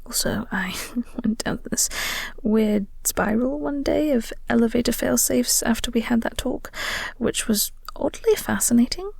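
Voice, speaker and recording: A woman playing a flirty, caring coworker in an intimate audio roleplay, speaking softly and gently in a breathy, reassuring way. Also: on the high side, lively intonation, measured pace, dry recording, exaggerated voice. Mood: amused. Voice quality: soft, breathy, whispery.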